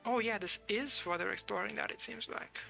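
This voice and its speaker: A man's voice: high voice, a little fast, vocal fry, bright, forceful tone, soft background music. A man giving casual live commentary as he plays a game, musing aloud in a hesitant way. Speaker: game streamer.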